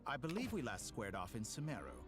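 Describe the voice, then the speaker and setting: An actor playing a smug, theatrical, calculating villain in a fantasy video game, silky and condescending. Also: male voice, mid-range voice, wildly swooping pitch. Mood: coaxing, calculating. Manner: silky, condescending, deliberate provocation. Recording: game music behind the voice.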